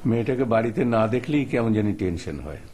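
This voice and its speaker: A man's voice: medium pitch, expressive, fast, dark tone, lightly acted. A man acting a tired, worrying middle-aged father in a TV drama. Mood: anxious.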